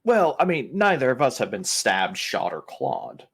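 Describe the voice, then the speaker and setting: A man's voice: mid-range voice, huge pitch swings, a little slow, creaky, fairly bright tone, slightly rough. A man playing a tabletop roleplaying game, speaking casually in and out of character and often thinking aloud with hesitations.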